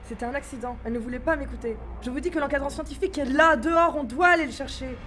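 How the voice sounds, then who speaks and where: Young woman playing obsessed female astronaut, high voice, agitated, pleading, sci-fi audio drama, faint background noise. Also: fast talker, a bit bright. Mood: desperate, pleading. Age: young adult. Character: distraught, obsessed female astronaut scientist accused by her crew. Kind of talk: science-fiction audio drama acting.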